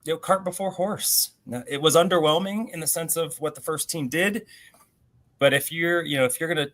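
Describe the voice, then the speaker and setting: A man's voice: fairly high pitch, a little fast, fairly bright tone. A man talking sports as a co-host on a video call, in a conversational way with rhetorical questions thrown in. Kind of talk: casual sports discussion over video call.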